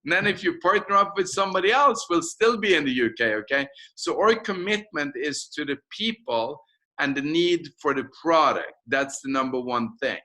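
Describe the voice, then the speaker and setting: Bright, forceful Norwegian man. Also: fairly high pitch, animated, moderate pace. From Norway. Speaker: company founder being interviewed. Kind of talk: persuasive business pitch in an interview call.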